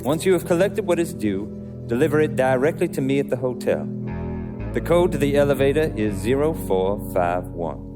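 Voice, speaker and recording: Guy doing cold controlled mafia underboss for a game, very exact formal wording, pitch moves a lot, quiet threat. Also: animated, over music, close to an everyday voice. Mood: cold menace. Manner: precise, measured, formal, quietly menacing. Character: cold, controlled mob underboss obsessed with order. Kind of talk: video game cutscene acting.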